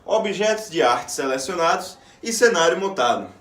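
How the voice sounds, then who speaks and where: A man's voice: on the high side, animated, normal speed, a bit bright. A man with a Brazilian accent, an actor reciting a scripted theatrical monologue. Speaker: stage actor. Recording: echoey room, distant microphone.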